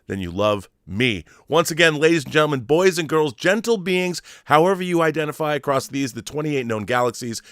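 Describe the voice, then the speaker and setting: A man's voice: on the high side, lively intonation, a little fast, hardly any pauses, a bit bright, no echo, studio quality. An American man, effusive and theatrical, hosting a casual fan interview show. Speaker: talk show host. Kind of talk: showman intro, then casual fan interview.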